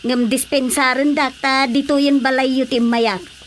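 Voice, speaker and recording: A woman's voice: high-pitched, level tone, moderate pace, a little hiss or hum, lightly acted. An actress with an Ilocano Filipino accent playing an indignant, nosy village woman who complains and exclaims, in a radio drama comedy. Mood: agitated.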